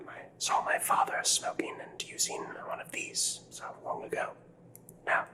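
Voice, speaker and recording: A man playing a nervous, courteous doctor in a whispered ASMR mystery roleplay, speaking in formal, hesitant phrases. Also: bright, forceful tone. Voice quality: breathy whisper. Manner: formal, hesitant, old-fashioned polite phrasing. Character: nervous, courteous, perplexed doctor aiding a murder investigation.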